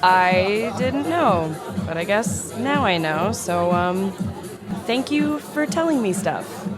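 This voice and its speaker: An actress playing a hesitant, idealistic young aspiring filmmaker in a conversational indie drama. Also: female voice, mid-range voice, animated, takes it slowly, music in the background, crowd noise. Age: young adult.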